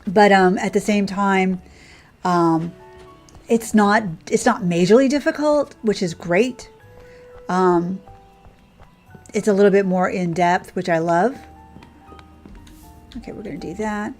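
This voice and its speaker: A woman's voice: medium pitch, slow, long pauses, a little mellow. A woman streamer chatting casually as she plays, rambling and thinking aloud in a conversational way. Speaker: game streamer, host. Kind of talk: casual livestream chat while playing. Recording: music playing behind the voice. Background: background game music.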